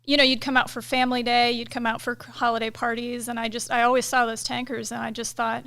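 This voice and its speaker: A middle-aged woman, a military pilot, talking through her career as a guest in a casual interview, relaxed and thoughtful, with many fillers. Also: fairly high pitch, fast, gravelly, smooth. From United States. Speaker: KC-135 pilot and director of inspections, guest.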